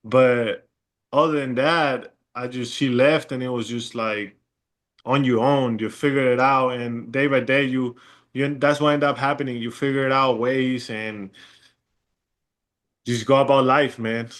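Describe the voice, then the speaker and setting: A young man from Chile with a Chilean Spanish accent, a guest in a casual interview, talking in a relaxed, rambling way with many fillers. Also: mid-range voice, unhurried. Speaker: guest, pro basketball player.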